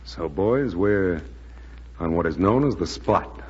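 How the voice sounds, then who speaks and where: An actor in a 1940s radio drama playing a weary, steady aircrew commander, clipped and grimly matter-of-fact. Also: male voice, low-pitched, slow pace. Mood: grim, resolute. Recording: muffled, noisy, reverberant 1940s radio recording.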